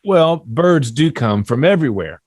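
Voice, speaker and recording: Man playing a genteel, animated eccentric father. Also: medium pitch, normal speed. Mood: genial. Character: genial, eccentric well-to-do father, a bird dealer. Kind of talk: table read of a period comedy.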